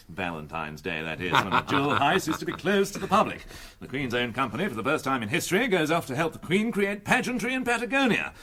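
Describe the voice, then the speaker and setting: A man with a British accent playing a brisk, stuffy, pompous colonel in a radio comedy. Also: on the high side, very animated, bright, forceful tone, exaggerated voice. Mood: brisk, official.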